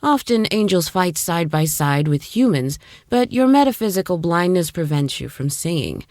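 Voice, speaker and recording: A woman playing a patient, knowing warrior angel in an audio drama, speaking in a measured, formal way. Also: on the low side, expressive, darkish tone, dry recording, clean studio sound, close to an everyday voice. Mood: solemn.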